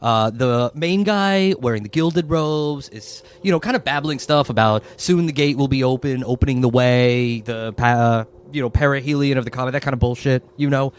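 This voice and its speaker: A man's voice: medium pitch, moderate pace, few pauses, clear, resonant tone. A man narrating a tabletop game as game master, improvising with informal, offhand asides.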